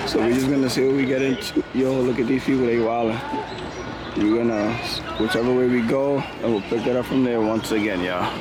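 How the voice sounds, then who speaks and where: A man, hoarse from losing his voice, casually vlogging his walk around a city zoo in laid-back slang. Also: mid-range voice, very noisy. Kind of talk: casual vlog narration.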